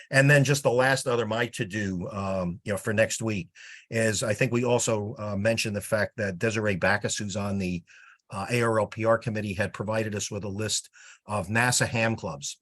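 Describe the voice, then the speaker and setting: A man's voice: lowish voice, normal speed, a bit bright. A man giving an informal, wordy, businesslike update on a conference call. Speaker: meeting participant giving a report. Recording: teleconference call audio.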